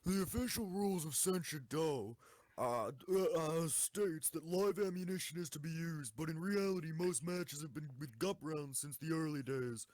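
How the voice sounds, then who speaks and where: A man's voice: a little high, normal speed, darkish tone, no echo, close to an everyday voice. A man playing an eager, devoted schoolgirl tank enthusiast in an amateur audio drama. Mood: nervous, informative.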